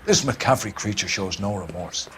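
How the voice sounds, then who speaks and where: An actor playing a hearty, hard-nosed police captain with grandiose, emphatic phrasing in a crime game. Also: male voice, sits low, expressive, normal speed, fairly bright tone, slight background noise. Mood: pleased, ruthless. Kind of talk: dramatic video game acting.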